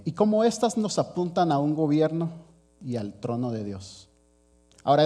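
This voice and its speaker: A man's voice: a little high, a little mellow. A man preaching an earnest pastoral sermon, addressing the congregation directly and reading scripture aloud.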